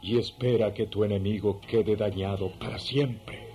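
Radio drama role: scheming magician male actor, mellow dark tone, insistent and wheedling, music underneath. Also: on the low side, fairly even intonation, normal speed, a little hiss or hum, lightly acted. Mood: eager, wheedling. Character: Simon the magician, eager scheming man seeking power.

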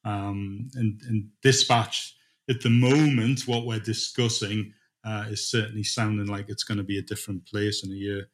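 A middle-aged man answers interview questions informally. He speaks haltingly, thinking aloud as he explains. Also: fairly low pitch, flat delivery. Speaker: dispatch manager being interviewed.

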